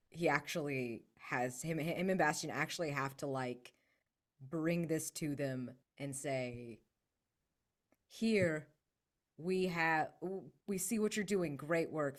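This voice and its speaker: A woman with a low voice plays in a tabletop roleplaying game. She describes her character's moves casually, making it up on the spot with halting, repeated words.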